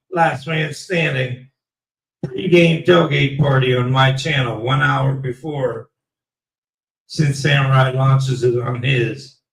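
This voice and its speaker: A man closing out his live stream in a rambling, halting way, his voice going raspy. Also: mid-range voice, fairly bright tone, roomy sound, far from the mic, poor audio. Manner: rambling, slow and halting with many fillers. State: voice getting raspy. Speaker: livestream host. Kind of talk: casual live stream sign-off.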